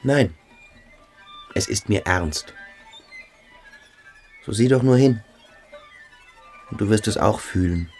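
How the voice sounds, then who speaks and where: A man playing a brooding, earnest cadet in a radio drama, hushed and searching. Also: lowish voice, takes it slowly, long pauses, soft background music. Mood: earnest, dreamy. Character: brooding, earnest male cadet at a boarding school. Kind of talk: literary radio drama acting.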